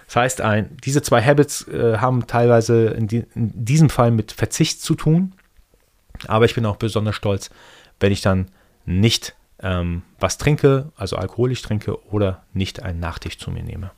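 A man from Iran whose first language is Persian, hosting a casual solo podcast and thinking aloud in a relaxed way. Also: lowish voice, moderate pace. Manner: relaxed, thinking aloud with restarts and soft laughs. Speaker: podcast host.

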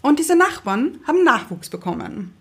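Higher-pitched woman chatting. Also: big pitch swings, moderate pace, husky. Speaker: podcast co-host. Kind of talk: casual chat, personal anecdotes.

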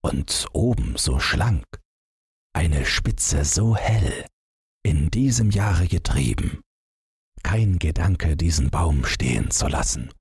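Slow, deep, husky male storyteller. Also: animated, a little mellow, dry recording, studio quality. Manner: measured, deliberate phrasing with short pauses. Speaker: audiobook narrator. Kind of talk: scripted literary narration, storytelling.

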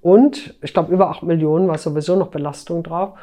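A woman speaking as an interviewed journalist, explaining things conversationally and sometimes halting mid-sentence. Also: on the low side, steady tone, warm tone.

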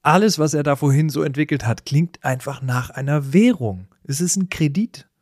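A man's voice: a little high, moderate pace, a little mellow, no room sound, studio quality. A man co-hosting a casual, comedic discussion, with a teasing, bantering manner. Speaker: podcast co-host.

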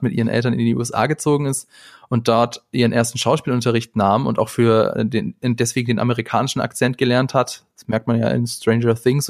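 A man's voice: medium pitch, dry recording. A man chatting on a podcast, talkative and in charge of the conversation. His voice sits in the middle range, and the sound is dry and close with no room around it. He leans on fillers as he thinks aloud. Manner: talkative, steers the talk, frequent fillers. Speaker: podcast co-host. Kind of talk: casual chat.

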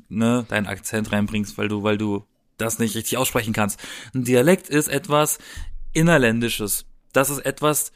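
A man's voice: mid-range voice, a bit bright. A man in a casual podcast chat, explaining things and bantering dryly as co-host.

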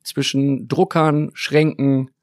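A man's voice: medium pitch, little pitch movement, dry recording, studio quality. Upbeat man hosting a podcast. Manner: upbeat, quick-talking host. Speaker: podcast host. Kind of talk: podcast intro and interview. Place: small press room in a stadium.

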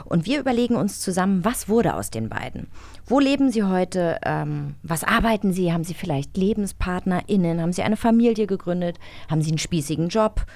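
A woman co-hosting a show in relaxed, informal, colloquial chat. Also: lowish voice, fairly quick, dry recording. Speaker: co-host of an audio drama magazine. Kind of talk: casual chat.

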